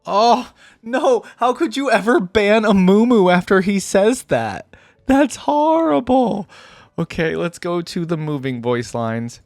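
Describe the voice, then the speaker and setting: A man's voice: high voice, big pitch swings, slow, darkish tone, dry recording, studio quality, soft background music. A man hosting a fan reaction video, reading aloud and commenting in an informal, conversational way as he thinks aloud.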